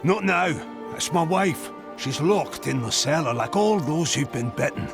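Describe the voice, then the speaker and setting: An actor playing a weary, gruff male blacksmith in a game. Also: on the high side, lively intonation, a little slow, over music.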